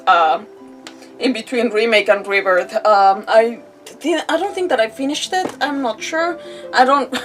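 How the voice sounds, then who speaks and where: A woman's voice: on the high side, a bit bright, distant mic. A woman gamer hosting a casual livestream, chatting in a rambling, giggly way and often interrupting herself.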